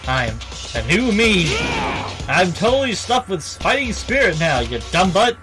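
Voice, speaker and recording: A man voicing a manic, hot-blooded young game character, dramatic and shouting with drawn-out words over music. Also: high-pitched, very animated, pitched above the performer's own voice. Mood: manic defiance. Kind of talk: video game dialogue read aloud.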